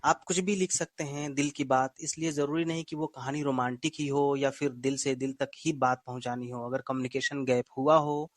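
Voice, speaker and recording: A man with a mid-pitched voice hosts a casual live audio show. He is courteous and appreciative toward guests and listeners, and the sound is dry with little room on it. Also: fairly even intonation, normal speed, hardly any pauses, no room sound. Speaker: co-host of an online audio story show.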